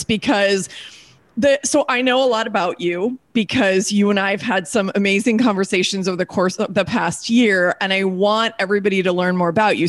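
Enthusiastic woman podcast host. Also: mid-range voice. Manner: enthusiastic, gushing, fills speech with "like" and "you know". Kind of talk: conversational podcast interview.

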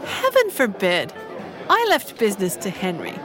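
An actress playing a genteel older society widow in an audio drama, polite, chirpy and refined. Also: female voice, mid-range voice, huge pitch swings, normal speed, over music, crowd noise. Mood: breezy. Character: genteel, busy English society widow, bright and chatty.

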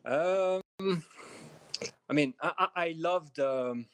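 A man from France with a French accent, guesting on a podcast interview and answering in a measured way, pausing often with small fillers. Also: on the high side. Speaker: film director guest.

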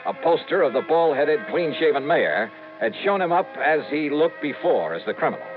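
An actor playing a brisk, wry insurance investigator in a punchy 1950s radio drama style. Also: male voice, a little high, music in the background. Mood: brisk narrating. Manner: punchy, animated. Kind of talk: 1950s radio drama acting with first-person narration. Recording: thin, phone-like 1950s radio recording.

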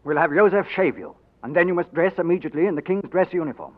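An actor playing a loyal courtier who speaks in a brisk, matter-of-fact way, in 1940s radio acting. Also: male voice, fairly high pitch, lively intonation. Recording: tinny old radio broadcast.

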